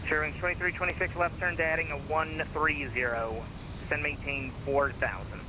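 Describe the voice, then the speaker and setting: A man's voice: a little high, little pitch movement, pushed and bright, poor audio. A man giving clipped, procedural air traffic control instructions over an aviation radio. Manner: brisk, procedural.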